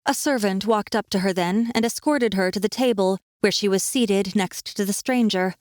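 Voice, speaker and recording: A woman reads fantasy fiction as an audiobook narrator. Her pitch is in the middle range for a woman, and the delivery is scripted storytelling. The sound is very dry, with hardly any room in it. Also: moderate pace, darkish tone, dry recording.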